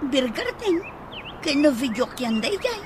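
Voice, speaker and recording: An inquisitive Ilocano wife acted by a woman in a radio comedy drama. Her voice is very high. Birdsong and noise are clearly heard behind her. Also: very animated, unhurried.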